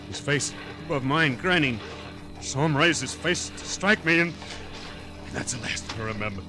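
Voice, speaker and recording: An actor playing an anxious, guilt-ridden man who narrates his own ordeal in a halting, brooding old-time radio drama style. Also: medium pitch, expressive, natural voice. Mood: tense, shaken. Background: dramatic music.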